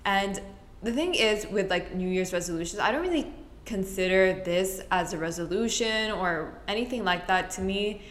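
A woman's voice: medium pitch, animated, moderate pace, a bit bright. A woman from the Middle East hosting a casual solo podcast, chatty and rambling, often correcting herself mid-sentence. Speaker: podcast host. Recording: roomy echo.

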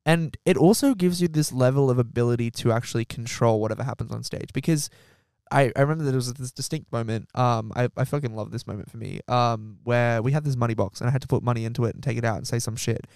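A man hosting a podcast, telling animated stories in casual chat and swearing freely. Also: mid-range voice, fast, creaky, clear, resonant tone, dry recording, clean studio sound. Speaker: podcast host.

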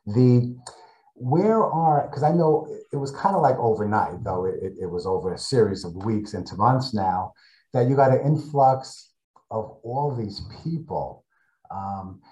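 A man's voice: medium pitch, mellow, roomy sound, poor audio. A man hosting an online interview, reacting with animation and asking long, winding questions. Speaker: interview host.

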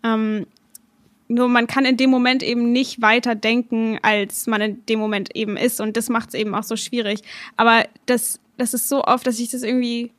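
Young woman, podcast host: a touch high-pitched, dry close sound, easygoing and rambling. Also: moderate pace, no room sound. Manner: relaxed, rambling, laughs easily. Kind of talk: casual podcast chat.

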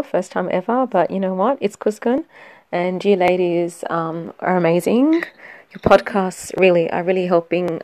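An enthusiastic woman recording an informal voice note. Her voice sits a little low, and the sound is dry and close as she gives casual commentary. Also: a little mellow, no echo. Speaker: podcast listener sending a voice note. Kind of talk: casual spoken commentary on a TV series.

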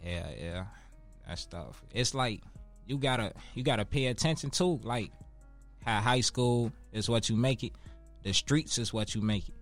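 A man in his twenties with a Chicago accent, talking casually as an interview guest in street slang, with profanity and emphatic repetition. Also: medium pitch. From Chicago, United States. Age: 20s.

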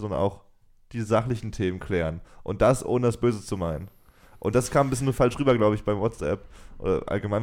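A man's voice: fairly low pitch, a little mellow. Laughing young man, casual chat. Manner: joking, laughs often, rambling with restarts. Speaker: podcast co-host.

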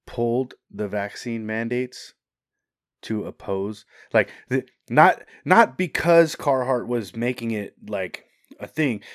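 A man in casual podcast chat, crude and quick to laugh, swearing often. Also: mid-range voice, no echo, studio quality.

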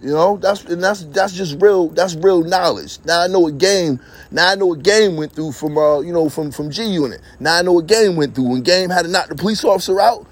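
A man with a high voice rambles on in a streetwise, boastful way, looping back over the same phrases. He is out in his yard talking into a phone, with a vehicle heard now and then. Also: fairly quick. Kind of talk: rambling monologue to camera.

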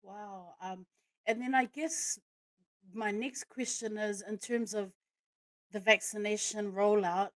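A woman's voice: medium pitch, fairly even intonation, slow, no room sound, rough recording. A woman hosting a casual interview podcast, enthusiastic and speaking to her guest in a familiar, sisterly way. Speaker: podcast host.

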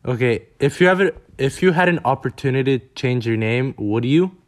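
A man's voice: mid-range voice, animated, a little fast. A man conducts an interview, putting brief, plain questions to his guest. He hesitates now and then with "um" and "uh.".

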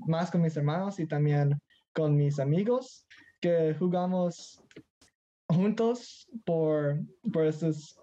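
A man's voice: fairly high pitch, dark tone. Hesitant teenage boy, dull lo-fi sound. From Mexico. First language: Spanish. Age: teens. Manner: hesitant, searching for words, mixes in English. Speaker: guest. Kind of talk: casual conversation.

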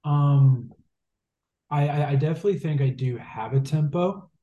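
A man's voice: on the high side, moderate pace, mellow, lo-fi sound. A man in his twenties, a guest who stutters, talking thoughtfully with repeated words and fillers. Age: 20s. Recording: roomy echo.

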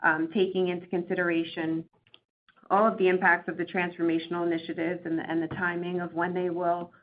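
A woman's voice: fairly low pitch, level tone, brisk. A woman speaking as a company executive, answering earnings call questions with careful, hesitant corporate phrasing. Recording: tinny phone line, faint background noise.

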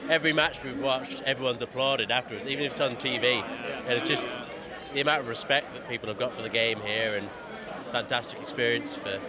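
A man with an English accent talks eagerly and at length. His voice has a middling pitch. A loud outdoor crowd carries on behind him. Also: quick pace. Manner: enthusiastic, rambling. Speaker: rugby fan interviewed. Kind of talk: casual chat.

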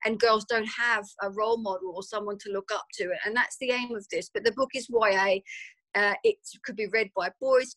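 A woman's voice: mid-range voice, fairly bright tone. A woman with an Australian accent, an author answering interview questions in a chatty way, often repeating words and using fillers. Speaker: author guest.

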